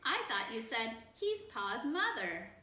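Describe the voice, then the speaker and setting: A woman's voice: a little high, big pitch swings, slow pace, sharp tone, full character voice. A woman voicing a sly young girl who sounds playful and falsely innocent. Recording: roomy, distant mic.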